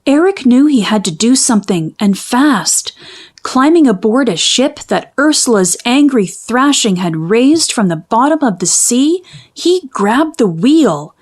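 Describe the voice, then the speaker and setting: A woman narrating a children's storybook in an expressive, measured storytelling manner. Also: on the high side. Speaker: children's book read-aloud narrator.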